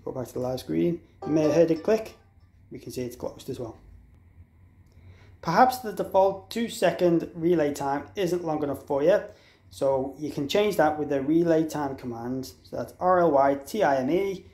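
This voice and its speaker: A man with a slightly high voice talks through a product tutorial in an echoey room. He explains things step by step in an informal, unscripted way, carefully spelling out letters and numbers. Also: moderate pace, darkish tone. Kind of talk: instructional walkthrough, informal and unscripted. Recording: echoey, roomy sound.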